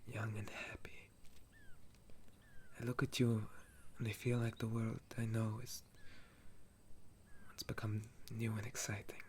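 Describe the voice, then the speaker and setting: A man playing a weary, tender legendary elf war hero in an intimate ASMR roleplay, speaking in a soft, breathy half-whisper. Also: lowish voice, level tone, a little hiss or hum, lightly acted. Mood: tender, reflective. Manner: slow, gentle, wistful storytelling.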